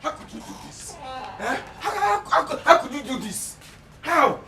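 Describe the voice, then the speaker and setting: Henpecked Nigerian husband, animated pitch swings, gravelly edge, flustered repetition, screen drama. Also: male voice, moderate pace, background noise. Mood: shocked. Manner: stammering repetition when agitated. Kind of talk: Nollywood film drama acting.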